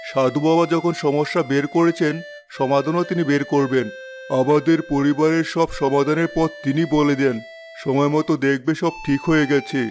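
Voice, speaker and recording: A man voices a fairy tale king who is both commanding and anxious for his family. His voice sits a bit high for a man. Music plays behind. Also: steady tone, moderate pace, darkish tone, lightly acted. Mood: earnest, reassuring. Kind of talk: fairy tale animation voice acting.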